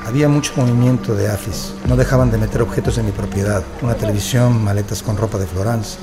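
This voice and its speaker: A man's voice: lowish voice, fairly even intonation, fast talker, natural voice. An actor playing a subdued, wronged male prisoner, narrating his ordeal in the first person for an audio drama. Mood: somber recounting. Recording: loud music bed with vehicle sounds.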